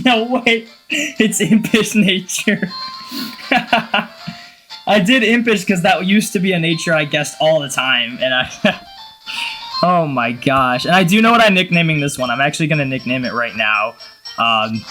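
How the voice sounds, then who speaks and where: A man with a cold giving casual, excitable, slangy gaming commentary. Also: fairly high pitch, low-quality recording. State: has a cold. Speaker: gaming video host.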